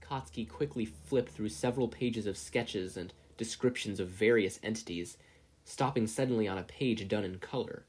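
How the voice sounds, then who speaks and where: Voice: medium pitch, moderate pace, darkish tone, slightly rough. A narrator reading scripted fiction aloud as an audiobook-style story. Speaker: podfic narrator.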